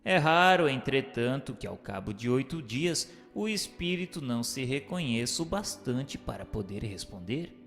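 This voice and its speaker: A man reading scripted questions and notes as a narrator, in a formal, declamatory and deliberate way. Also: mid-range voice, fairly bright tone. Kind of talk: scripted narration of a spiritist book. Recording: long hall reverb, music bed.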